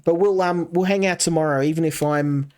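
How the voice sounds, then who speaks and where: A man's voice: fairly high pitch, steady tone, fairly quick, darkish tone. A man streaming a game, chatting casually and rambling while talking to his chat. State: coming down with a cold.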